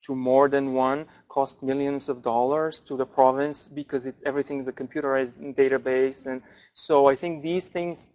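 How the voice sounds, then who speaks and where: A man, a language researcher, answering questions at an academic forum in a thoughtful way with frequent pauses and restarts. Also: medium pitch, steady tone, darkish tone, rough recording. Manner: thoughtful, many fillers and restarts.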